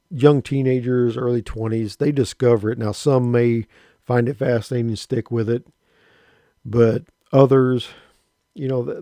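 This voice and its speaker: A man in his late fifties telling a rambling, halting personal story about his radio hobby, often restarting his sentences. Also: mid-range voice, mellow, dry recording, studio quality. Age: 50s. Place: rural home.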